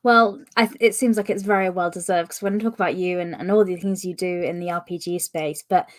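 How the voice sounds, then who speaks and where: A woman's voice: fairly low pitch, fairly even intonation, quick pace. A woman hosting a casual podcast interview, chatty and enthusiastic, with frequent filler words. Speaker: podcast host.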